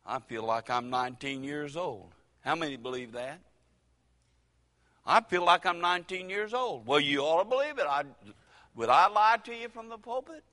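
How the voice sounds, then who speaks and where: Older preacher in an echoing church. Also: male voice, a little high, expressive. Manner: folksy preaching with dramatic pauses and sudden loud rises. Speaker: pastor. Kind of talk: sermon.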